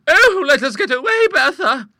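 A man's voice: extremely high pitch, very animated, brisk, sharp tone, dry recording, studio quality, voice pushed up, full character voice. A man voicing a timid, fluttering elderly English spinster in a storybook, genteel, anxious and easily shocked. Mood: terrified. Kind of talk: storybook character voice in an audiobook.

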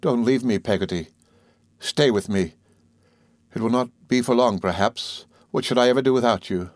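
A man voicing a gentle, fragile young mother in a storybook, with tender, genteel phrasing. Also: mid-range voice, normal speed, no echo, clean studio sound, close to an everyday voice. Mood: anxious, tender. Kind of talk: audiobook character voice.

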